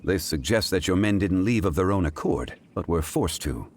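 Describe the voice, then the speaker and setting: An actor playing a calm, erudite gentleman investigator in a video game, speaking in a measured, formal way. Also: deep voice, a little hiss or hum. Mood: composed. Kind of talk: video game character acting.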